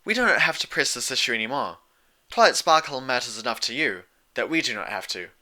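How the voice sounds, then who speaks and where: A man plays a regal pony princess of the night in a storybook tale. The voice is lifted well above his own and very bright and forceful. She speaks formally and proudly, with a sharp, challenging edge. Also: mid-range voice, expressive, brisk, voice pushed up. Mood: curt, challenging. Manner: formal, archaic, challenging phrasing.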